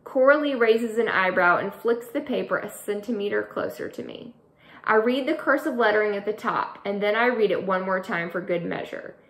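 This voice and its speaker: A woman author reading her middle grade novel aloud, with an expressive storytelling delivery. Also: medium pitch, moderate pace. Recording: echoey room.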